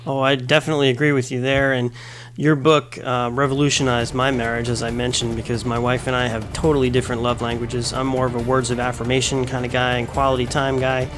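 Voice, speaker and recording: A male radio host talks with easy enthusiasm at a middle pitch, mixing his questions with personal asides. Music plays clearly underneath his voice. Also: level tone, fast talker. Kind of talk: interview host, conversational.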